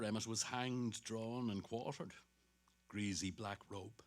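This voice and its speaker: A man's voice: lowish voice, close to an everyday voice. An actor playing a vain, dapper middle-aged salesman who chatters to himself in a dramatic stage reading. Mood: musing. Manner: self-satisfied, muttering to himself between hearty greetings.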